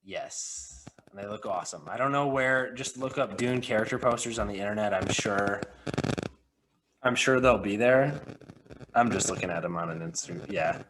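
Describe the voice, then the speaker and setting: A man's voice: fairly low pitch, moderate pace, a bit bright, slight background noise, low-quality recording. A man hosting a film podcast in casual chat, full of joking, rambling banter. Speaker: podcast host.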